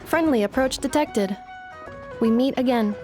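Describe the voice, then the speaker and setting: Woman as a highish, formal robot girl. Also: measured pace, close to an everyday voice. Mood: neutral, analytical. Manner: clipped, formal, emotionless machine phrasing. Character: polite, literal-minded female robot girl. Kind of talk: video game cutscene voice acting. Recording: music behind the voice.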